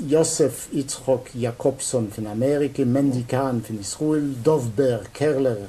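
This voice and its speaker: A man's voice: mid-range voice, a little slow, husky, poor audio. A male interviewer who steadily reads out a list and asks questions in an interview. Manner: plain, steady listing. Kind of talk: reading a list, asking questions. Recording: faint background noise.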